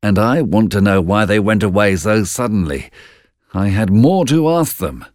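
A man's voice: lowish voice, animated, normal speed, no echo, studio quality, pitched above the performer's own voice, lightly acted. A man voicing a brave, puzzled, loyal warrior in a storybook, speaking with urgent insistence. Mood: baffled. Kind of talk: storybook narration.

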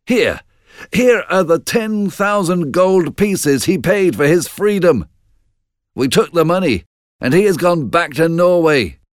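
A man's voice: fairly high pitch, lively intonation, takes it slowly, no room sound, clean studio sound, pitched above the performer's own voice. A man voicing an eager, loyal royal messenger in a storybook, with emphatic, declamatory delivery. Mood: proud reporting. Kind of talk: storybook narration.

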